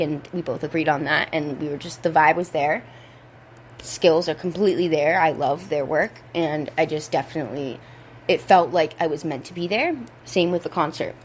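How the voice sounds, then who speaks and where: A young woman with a low voice, still hoarse from a cold. She rambles in a relaxed, easygoing way and swears freely. Faint noise hums behind her. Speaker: podcast host. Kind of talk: casual chat. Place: indoors at home.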